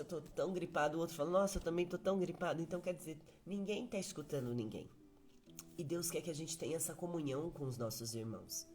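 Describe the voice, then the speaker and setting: A woman's voice: low-pitched, darkish tone. A woman giving a morning devotional talk, speaking earnestly, asking listeners questions and repeating key phrases for emphasis.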